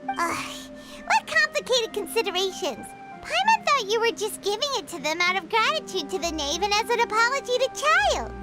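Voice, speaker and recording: An extremely high, childlike squeak with very animated pitch, set over loud music. It is a little fairy companion from a video game. The delivery is chirpy, blunt and full of curiosity. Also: female voice, normal speed. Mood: surprised, exclaiming. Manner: refers to self in third person, exclaiming, inquisitive. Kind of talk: video game character dialogue.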